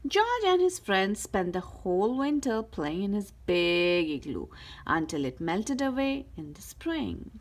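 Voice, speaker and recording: A woman reading a children's picture book aloud as a storyteller, with careful, expressive delivery. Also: mid-range voice, measured pace. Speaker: host of a read-aloud story channel.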